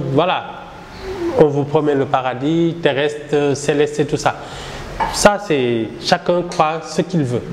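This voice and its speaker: A middle-aged man from Senegal, the founder of a satirical newspaper, answering interview questions in a measured, reflective way while gesturing a lot. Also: fairly high pitch, darkish tone, a little hiss or hum. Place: small indoor room with poster backdrop. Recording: roomy with reverb and faint ambience.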